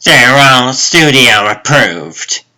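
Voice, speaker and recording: A man's voice: mid-range voice, animated, drawn-out words, sharp tone, heavily acted. A man voicing a dutiful but exasperated assistant in a comic dub, speaking pointedly.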